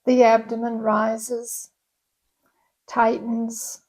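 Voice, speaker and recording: A woman yoga nidra instructor leading a guided meditation in a gentle, soothing, unhurried way. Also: on the high side, completely flat delivery, poor audio.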